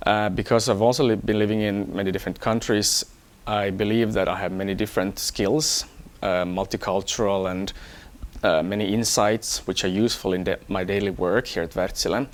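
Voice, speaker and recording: A man from Hong Kong, an engineer, talking about himself in a measured, explanatory way for an employee profile. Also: fairly low pitch, fairly even intonation. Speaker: electronics engineer. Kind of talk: first-person employee profile talk.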